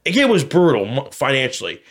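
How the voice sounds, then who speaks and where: A man's voice: mid-range voice, huge pitch swings, fairly quick. An American man in his thirties chatting casually and candidly, swearing often and rambling as he repeats himself. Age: 30s. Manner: profane, rambling, repeats himself, frequent "you know". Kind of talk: casual candid podcast chat.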